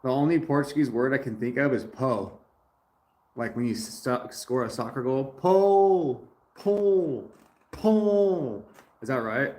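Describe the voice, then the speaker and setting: A man's voice: mid-range voice, takes it slowly, warm tone. A man hosting a casual livestream from his garage. He greets chatters by name and talks loosely about effort. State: dirty after a day of work. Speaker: livestream host.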